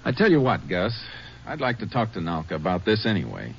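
Calm lawman, deep voice, plainspoken, kindly, radio western narrator, muffled vintage recording. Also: gravelly, slight background noise, full character voice. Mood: kindly, reassuring. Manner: measured, reassuring, plainspoken. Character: calm, steady, kindly Texas Ranger investigator and narrator. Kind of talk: 1950s radio drama acting and narration. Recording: muffled 1950s radio broadcast.

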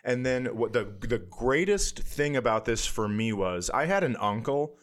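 An American man with a mid-range voice chats as a comedy podcast co-host. He tells loose, profane stories with a teasing edge and is quick to laugh along. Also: mid-range voice, animated, fairly bright tone. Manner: crude, profane, teasing banter; laughs readily while telling stories. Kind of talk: casual comedic storytelling.

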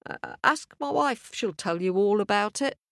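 Woman performing a storybook farmer husband, folksy, mild, hesitant, expressive pitch. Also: takes it slowly, no room sound, studio quality, exaggerated voice. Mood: nervous. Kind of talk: storybook character voice.

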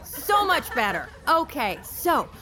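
A woman's voice: high voice, big pitch swings, slow, over a noisy crowd, exaggerated voice. A woman playing a peppy, teasing corporate tour guide with bubbly enthusiasm in an audio drama. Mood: upbeat, teasing. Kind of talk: audio drama acting, addressing a school group.

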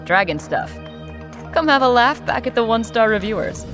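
A woman reads a scripted trailer with big, animated swings of pitch. Music runs prominently underneath her announcing. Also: normal speed. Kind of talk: scripted podcast promo.

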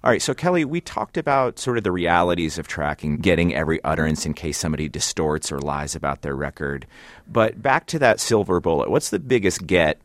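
A man's voice: low voice, animated, fairly quick, no echo. A man hosting a broadcast interview in a conversational style. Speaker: radio interviewer, host.

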